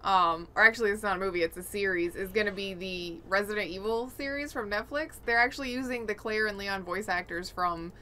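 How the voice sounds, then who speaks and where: A woman's voice: medium pitch, a little fast, hardly any pauses, pushed and bright, a little hiss or hum. A woman co-hosting a casual gaming chat over an online video call. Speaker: podcast co-host.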